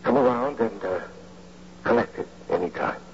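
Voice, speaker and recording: An actor playing a persuasive, stammering amateur bank robber who takes the lead, in an old radio drama. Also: male voice, fairly low pitch, moderate pace, gravelly. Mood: wheedling. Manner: pleading, persuasive, hesitant stammering. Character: fast-talking, wheedling amateur male bank robber, the leader. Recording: muffled, hissy old radio recording.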